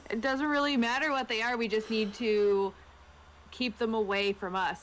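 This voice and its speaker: A woman's voice: on the high side, steady tone, moderate pace, slight background noise. A woman taking part in a tabletop roleplaying game, moving between casual chat and speaking for her character.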